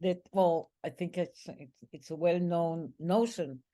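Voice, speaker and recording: A woman's voice: lowish voice, steady tone, a little slow, dark tone. A woman co-hosting an interview show, speaking encouragingly and repeating words as she thinks. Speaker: podcast co-host. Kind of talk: interview chat.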